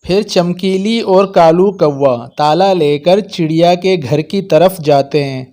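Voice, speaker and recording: A man with a fairly high voice narrates a children's tale. He reads from a script as the storyteller. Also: takes it slowly, dark tone.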